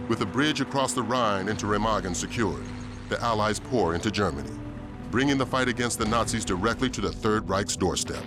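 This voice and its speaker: A man giving solemn, measured, scripted documentary-style narration of wartime history. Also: lowish voice, expressive. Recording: music behind.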